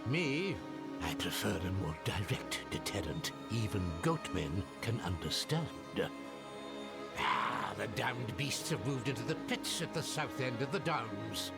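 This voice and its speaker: Gravelly, grumbling old farmer. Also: male voice, lowish voice, very animated, unhurried, exaggerated voice. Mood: weary, gruff. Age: older. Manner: gruff, grumbling, blunt. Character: gruff, grumbling old farmer of a besieged village. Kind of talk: dramatic video game acting. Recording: music behind.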